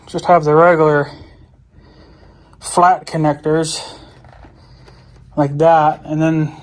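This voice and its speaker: A man chatting casually off the cuff, rambling and thinking aloud as he vlogs about a hands-on job, with some mild swearing. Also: on the high side. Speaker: vlogger. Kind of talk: casual off-the-cuff DIY vlog chat.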